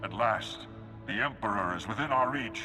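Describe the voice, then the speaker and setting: A man voicing a venerable, confident and idealistic Jedi master in a video game, measured and solemn with a gravelly voice. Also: on the low side, natural voice. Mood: proud, assured. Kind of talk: video game cutscene voice acting. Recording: loud music behind.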